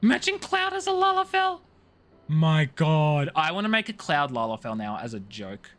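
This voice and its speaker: Lively, bright-voiced man chatting over a game. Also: on the high side, normal speed. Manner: slangy, jokey, playful mock-outrage. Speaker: live streamer playing a video game. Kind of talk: casual chatty game commentary.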